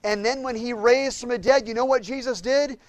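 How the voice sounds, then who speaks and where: A man preaching a sermon with impassioned swings between loud and hushed delivery. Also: very high voice, steady tone, moderate pace, no room sound. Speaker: pastor.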